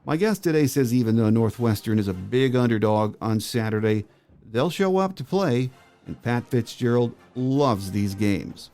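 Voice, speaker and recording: A man's voice: medium pitch, animated, moderate pace, dark tone. An American man hosting a sports podcast, delivering prepared commentary and introductions. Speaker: podcast host. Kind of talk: scripted sports podcast monologue and interview.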